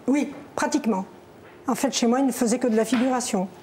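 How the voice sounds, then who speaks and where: Middle-aged woman from algeria on a tv talk show, slightly high, warm voice, candid and measured. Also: slight background noise. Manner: candid, measured telling with pauses. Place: television studio.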